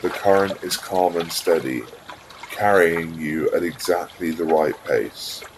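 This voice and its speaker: A man narrating a scripted guided visualisation in a calm, soothing, unhurried way, leaving pauses between phrases. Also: sits low, noisy background.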